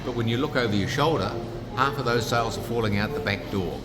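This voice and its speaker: A middle-aged man with a New Zealand accent, a business founder making an upbeat, punchy pitch in a casual interview. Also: quick pace. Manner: upbeat, punchy salesman delivery. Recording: background music, trade fair.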